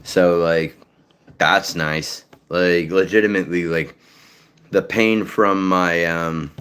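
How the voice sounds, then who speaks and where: Deep-voiced rambling man. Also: steady tone, takes it slowly, a bit bright, clean tone. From Massachusetts, North Shore. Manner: rambling, lots of fillers and swearing. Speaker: voice actor. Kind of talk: casual livestream chat.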